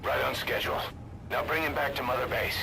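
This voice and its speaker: A man's voice: mid-range voice, fairly even intonation, fairly quick, creaky, noisy background, close to an everyday voice. An actor playing a terse, commanding military man in a video game, with a clipped, cool delivery. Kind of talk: dramatic video game voice acting.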